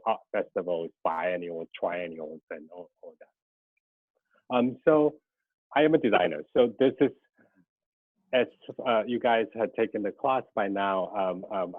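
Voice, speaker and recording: A man's voice: low voice, slightly rough, no room sound. Man in his thirties, native Mandarin, hesitant. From Taiwan. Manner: hesitant, many ums and restarts. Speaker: guest lecturer, graphic designer. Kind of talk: online guest lecture. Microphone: video call. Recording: tinny dry video-call audio.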